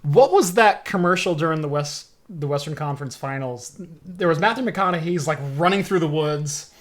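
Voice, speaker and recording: A young man from Oregon hosting a casual tech podcast, talking loudly and chattily and laughing often. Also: a little high, moderate pace. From Oregon, USA. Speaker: show host.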